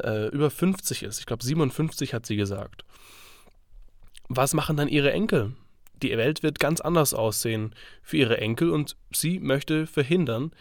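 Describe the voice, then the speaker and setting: A man with a cold hosts a solo podcast. He sounds stuffy and congested and talks in a loose, thinking-aloud way with fillers. His voice is mid-pitched, and the recording is dry and close with no room sound. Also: dry recording. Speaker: podcast host.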